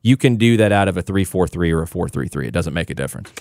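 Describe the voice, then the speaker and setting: Low smooth guy explaining soccer tactics on sports radio, sounds sure of himself. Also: lively intonation, quick pace, darkish tone, dry recording, clean studio sound. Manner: confident, explanatory. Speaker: soccer broadcaster and guest analyst.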